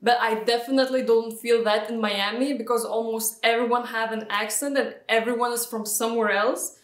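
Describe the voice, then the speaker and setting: Woman, Lithuanian accent, fairly high voice, echoey room, distant video-call audio, chatty with fillers. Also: level tone, a little fast. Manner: chatty, frequent fillers and restarts. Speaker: guest, real estate agent. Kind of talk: casual interview answers.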